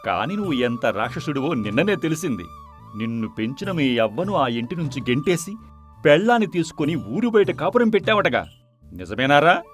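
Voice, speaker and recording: A man's voice: on the high side, very animated, brisk. A man playing an indignant village man in a moral story, blunt and reproachful, with emphatic questions and a streak of pity. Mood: scornful, angry. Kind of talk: dramatized moral story acting. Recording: music behind the voice.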